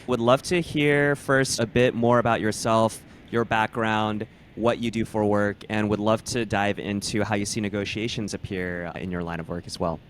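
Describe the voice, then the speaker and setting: A man's voice: lowish voice, fairly even intonation, normal speed, few pauses, a little hiss or hum. An American man from California co-hosting a podcast in a casual, conversational way. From California, United States. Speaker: podcast co-host.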